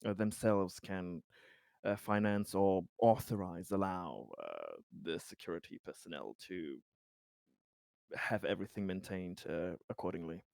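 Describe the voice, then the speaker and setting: A man's voice: low voice, animated, a little slow, vocal fry, a little mellow, no echo, clean studio sound, heavily acted. A man improvising a hesitant, polite, earnest colony official in a sci-fi roleplaying game, stammering and deferential. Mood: helpful, earnest. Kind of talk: improvised in-character tabletop roleplay.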